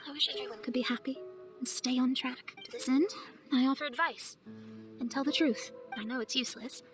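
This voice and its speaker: High-voiced young woman astrologer, played by an actress, over music. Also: lightly acted. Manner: reflective, measured, theatrical. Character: young woman astrologer, earnest, eloquent and wistful. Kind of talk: video game voice acting. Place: studio, with music behind.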